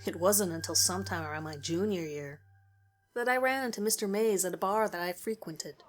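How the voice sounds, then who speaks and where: A woman's voice: on the low side, fairly quick. A woman reading a scripted first-person story aloud as its narrator.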